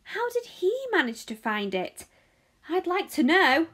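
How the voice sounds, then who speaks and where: Lady doing a big grumpy boy in a kids' story, loud, jealous, indignant. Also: high-pitched, very animated, unhurried, voice pushed up, exaggerated voice. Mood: angry, envious. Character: large, angry, envious boy. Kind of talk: children's audiobook character acting.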